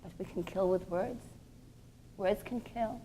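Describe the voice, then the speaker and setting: A woman's voice: medium pitch, unhurried, dark tone, slight background noise, rough recording. A woman teaching a religious class to women in an earnest, didactic way, often posing rhetorical questions and stressing her points. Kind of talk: religious lecture to a group of women.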